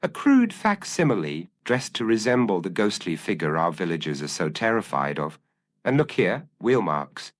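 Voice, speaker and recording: A man voicing a brilliant, commanding male detective with calm, incisive, formal deductions in an audio mystery story. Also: deep voice, moderate pace. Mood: analytical, assured. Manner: crisp, formal, declarative deductions.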